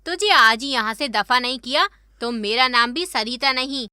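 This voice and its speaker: Woman acting a shrill, scolding Indian mother-in-law. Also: normal speed, sharp tone, clean tone, no room sound, clean studio sound. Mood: furious. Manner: indignant, berating. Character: scolding, sharp-tongued middle-aged Indian mother-in-law. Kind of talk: animated moral story dialogue.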